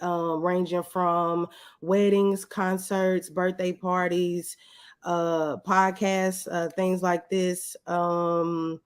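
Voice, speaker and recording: Woman guest chatting in a casual interview. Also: mid-range voice.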